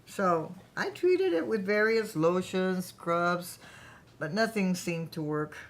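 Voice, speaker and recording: A woman's voice: fairly low pitch, expressive, slow pace. Proud, chatty woman entrepreneur, acted in a reading. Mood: proud, reminiscing. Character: ambitious self-made woman founder of skincare company, proud, chatty. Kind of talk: staged reading of workplace satire play.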